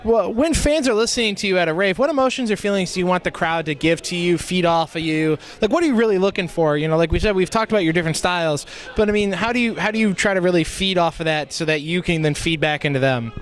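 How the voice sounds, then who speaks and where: High-voiced man interviewing casually. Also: fast, few pauses.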